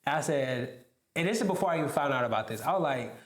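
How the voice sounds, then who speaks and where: A man in his twenties hosting a casual podcast chat. He talks in an animated way, laughs often and keeps saying "bro.". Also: medium pitch, quick pace, roomy sound. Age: 20s. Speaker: podcast host. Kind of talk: casual conversation.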